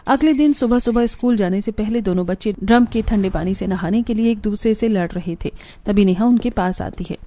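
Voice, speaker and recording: A woman's voice: mid-range voice, fairly quick, dark tone. A woman reading a scripted moral story as its narrator. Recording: music behind the voice. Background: background music.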